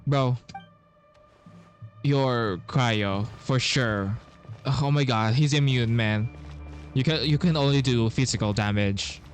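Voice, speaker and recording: A man's voice: mid-range voice, slow pace, long pauses, soft background music. A man giving casual, slangy gameplay reaction commentary in trailing half-sentences. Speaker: gaming video creator.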